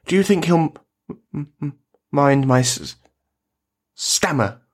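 A nervous, lovestruck man with a heavy stammer in a radio play. He speaks slowly and haltingly, in a fairly high, husky, breathy voice, always eager. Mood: elated, anxious.

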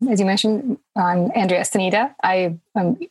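A woman's voice: mid-range voice, normal speed, a little mellow, slightly rough, dry recording, poor audio. A middle-aged woman from Romania, answering conversationally as a podcast guest.

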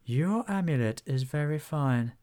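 A man voicing a slow, courteous, ancient female dragon in a storybook reading. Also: fairly high pitch, lively intonation, darkish tone, pitched above the performer's own voice. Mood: admiring.

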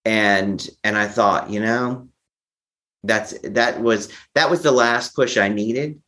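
A man giving a personal account as an interview guest, speaking haltingly, often repeating and restarting his phrases. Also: lowish voice, sharp tone. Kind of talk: personal recovery storytelling.

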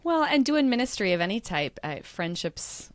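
A woman's voice: medium pitch, big pitch swings, moderate pace, no echo. A woman hosting a podcast, asking questions in a conversational way. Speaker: podcast host. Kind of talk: interview host.

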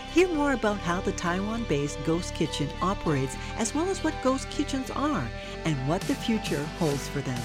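A woman reading a scripted podcast intro in a smooth, steady way. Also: medium pitch. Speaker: podcast announcer. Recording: voice over clear background music. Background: music.

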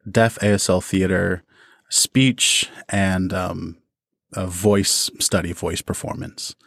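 A man's voice: low voice, fairly even intonation, slow pace, vocal fry, fairly bright tone, dry recording, studio quality. A man speaking as a podcast guest, giving casual, thoughtful explanations as a creative artist. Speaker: interview guest, audio drama creator. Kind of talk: casual, reflective interview answers.

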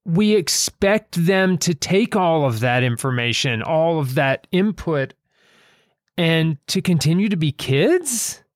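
A man discussing stories thoughtfully as an author and host, speaking haltingly and repeating phrases for emphasis. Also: fairly high pitch, lively intonation, dry recording, clean studio sound.

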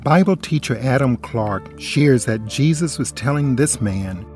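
A man's voice: mid-range voice, lively intonation, a little slow, a little mellow. Solemn man reading scripture over music. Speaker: co-host and Bible study presenter. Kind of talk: scripted radio Bible study.

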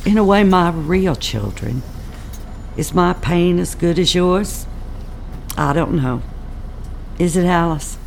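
An actress playing a genteel, wealthy elderly Southern belle, a former nurse, reflective and measured, in an audio play. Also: female voice, on the low side, lively intonation, gravelly, a little hiss or hum, heavily acted. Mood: pained, defiant. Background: bus or vehicle ambience.

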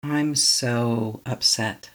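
A meditation guide leading a tapping session, speaking slowly and calmly in short affirmations for the listener to repeat. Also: mid-range voice. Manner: calm, slow, repeating affirmations to be echoed back.

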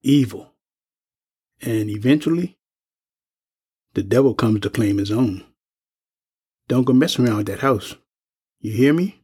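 A man's voice: medium pitch, a little slow, long pauses, a little mellow, husky. Grave, folksy old working man. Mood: ominous warning. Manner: folksy, plain-spoken, ominous storytelling. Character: grave, world-weary old working-class man, a widowed veteran. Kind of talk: audio drama dialogue.

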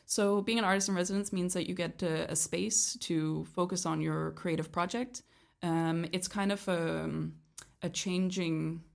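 Gravelly-voiced young woman, hesitant. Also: fairly low pitch, darkish tone. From Canada. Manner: hesitant, many ums and restarts. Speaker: guest, project leader. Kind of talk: casual interview chat.

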